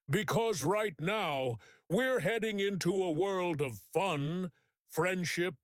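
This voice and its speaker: A man with a high voice presents a children's storytime. He speaks slowly in an inviting, expressive scripted style. The sound is dry. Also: no echo. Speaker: story time host.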